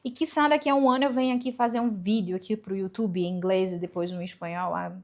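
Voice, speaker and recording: A woman's voice: mid-range voice, steady tone, fast, darkish tone. A young woman, giving casual advice to camera in a chatty way, with rhetorical questions and self-corrections. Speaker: vlogger giving language-learning tips.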